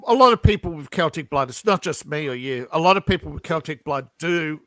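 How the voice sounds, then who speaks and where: 50s guy, high bright voice, laughs a lot, rants, super dry close sound. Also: lively intonation, fairly quick, no room sound. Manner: chuckling, opinionated, ranting in bursts. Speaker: participant in an online group chat. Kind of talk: casual chat.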